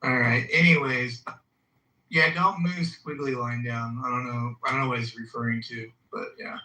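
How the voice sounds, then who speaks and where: A man's voice: medium pitch, steady tone, normal speed, bright, forceful tone, reverberant, rough recording. A man hosting a casual call-in show in an offhand, wry way, fielding callers. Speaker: podcast host. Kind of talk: casual chat, moderating.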